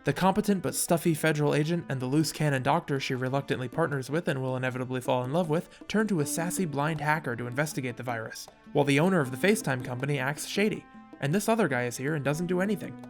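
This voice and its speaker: High-pitched wry man narrating over music. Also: quick pace. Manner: wry, casual, dramatic storytelling. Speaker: video essayist and narrator. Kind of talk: scripted video essay narration with wry asides. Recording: music playing behind voice.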